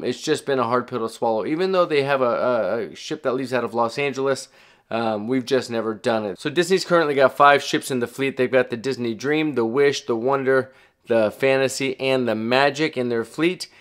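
Middle aged guy doing a travel vlog, regular mid pitch voice, chatty and casual, kinda self-deprecating while he explains stuff. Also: fairly quick, smooth. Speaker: travel vlogger and host. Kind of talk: casual explainer talk to viewers.

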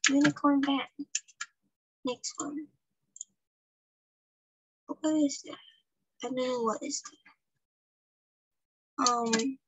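High-voiced woman chatting slowly over a game. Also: slight background noise, rough recording. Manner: chatty, thinks aloud with many ums, soft and unhurried. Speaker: gaming channel host. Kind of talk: casual gameplay commentary.

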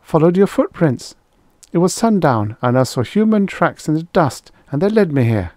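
A feisty young female raider from an adventure audiobook, acted out by a man. The voice is lifted well above a male register, brisk and sharp-tongued yet friendly and chatty, in a close, dry recording. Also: a little high, very animated, no room sound, voice pushed up. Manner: brisk, indignant whisperer with casual chattiness.

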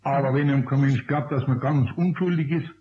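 A man's voice: medium pitch, brisk, a crowd in the background, close to an everyday voice. Actor as grumpy Bavarian craftsman, echoey room. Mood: reproachful. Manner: gruff, dry, grumbling. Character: grumpy older male Bavarian craftsman, dry scolding. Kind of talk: radio play acting. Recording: dull, echoey old radio play recording.

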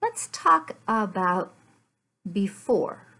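A middle-aged woman, an English teacher, giving a lesson in deliberate, word-by-word phrases with repeated questions and answers. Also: medium pitch, very animated, pushed and bright. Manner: deliberate, word-by-word enunciation for learners. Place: small furnished room at home.

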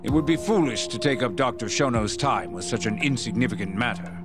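A man's voice: medium pitch, animated, brisk, close to an everyday voice. Man playing a haughty middle-aged official. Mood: aloof. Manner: formal, aloof. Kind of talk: video game cutscene acting. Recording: music behind the voice.

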